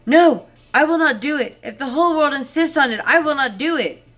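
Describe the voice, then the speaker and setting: A woman's voice: high voice, animated, fairly quick, fairly bright tone, pitched above the performer's own voice. A woman voicing a crafty, poor little peasant man in an emphatic, theatrical storybook manner. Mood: loudly protesting.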